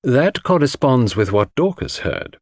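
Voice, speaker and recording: A man voicing a courteous but stern male coroner in an audiobook, asking formal, measured questions. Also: mid-range voice, very animated, no echo, clean studio sound, pitched above the performer's own voice. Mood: probing. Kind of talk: audiobook character dialogue.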